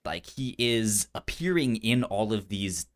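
A man's voice: on the low side, animated, a little slow. A man co-hosting a casual film discussion, stuttering often as he repeats sounds and syllables. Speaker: podcast co-host.

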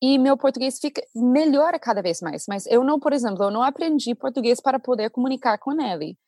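A woman's voice: high-pitched, fast, no room sound, clean studio sound. Chatty woman speaking Portuguese. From United States. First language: English. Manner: chatty and animated, lots of tag questions. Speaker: Portuguese teacher and host. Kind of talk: casual conversation.

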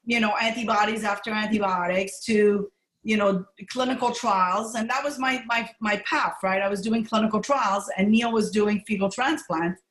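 A woman's voice: mid-range voice, steady tone, moderate pace, fairly bright tone, echoey room, poor audio. A middle-aged woman gastroenterologist who grew up in Montreal, talking candidly and with laughs in a podcast interview. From Montreal, Canada. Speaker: gastroenterologist guest.

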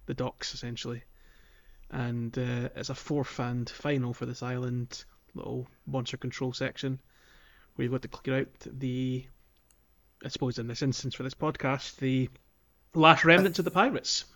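A man hosting a podcast, casually guiding listeners through a video game in a halting, think-aloud way. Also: mid-range voice, steady tone, fairly bright tone. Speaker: podcast host.

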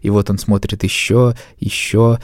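A man's voice: on the low side, flat delivery, unhurried, a little mellow, no room sound, studio quality. A man talking casually and colloquially, thinking aloud as a podcast co-host. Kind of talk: casual conversational discussion.

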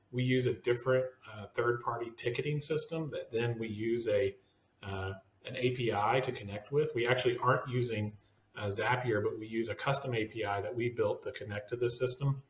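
A man hosts a business talk show, chatting loosely and circling his points with fillers. His voice sits at a middling pitch and rings a little in a reverberant room. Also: moderate pace. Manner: rambling, hedging with fillers. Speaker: podcast host. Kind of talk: casual roundtable chat.

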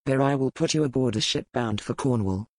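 A woman voicing a stern male pirate captain who speaks in measured, formal sentences. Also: extremely low pitch, a little mellow, no room sound, pitched below the performer's own voice. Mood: grave. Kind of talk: audiobook character voice.

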